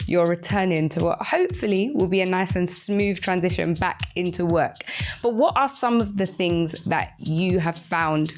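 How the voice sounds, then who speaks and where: A woman hosts a live chat show, addressing her listeners directly. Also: fairly low pitch. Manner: addresses listeners directly, greeting them by name. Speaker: radio show host.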